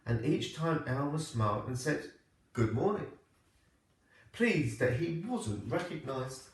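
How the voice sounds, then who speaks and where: A man reading a children's storybook aloud like a primary teacher, with expressive, deliberate phrasing. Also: fairly high pitch. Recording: echoey room, distant microphone.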